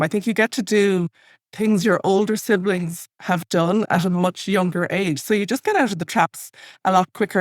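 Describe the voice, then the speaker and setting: A woman's voice: medium pitch, no echo, clean studio sound. A talkative, witty woman in her fifties with an Irish accent, from the west of Ireland, chatting casually and anecdotally as a podcast guest. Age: 50s. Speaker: podcast guest, comedy writer.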